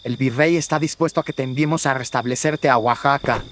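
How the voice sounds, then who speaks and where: A man's voice: mid-range voice, a little fast, noisy background, pitched above the performer's own voice, lightly acted. A man playing a devout, concerned friar in a horror radio drama, earnest and solicitous. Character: concerned, devout fellow friar tending his brother.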